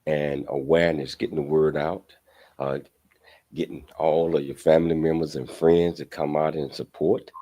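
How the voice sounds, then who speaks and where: A man's voice: very deep voice, little pitch movement, normal speed, warm tone. A man hosting a radio show, addressing listeners in a conversational presenting style.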